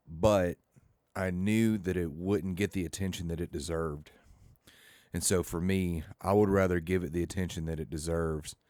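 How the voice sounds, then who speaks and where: A man getting over the flu and losing his voice, talking softly and hesitantly with many fillers as he hosts his own channel into his own microphone. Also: low-pitched, no echo. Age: adult with a full-time job and family. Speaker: comic and game creator hosting his channel. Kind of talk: casual talk to camera. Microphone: own microphone, home recording.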